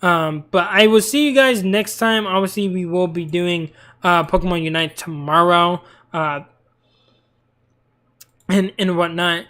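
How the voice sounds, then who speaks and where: A man's voice: high-pitched, a little slow, sharp tone. A young man streaming and chatting casually about cartoons in a rambling, talkative way. He is tired and losing his voice. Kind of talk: casual live-stream commentary.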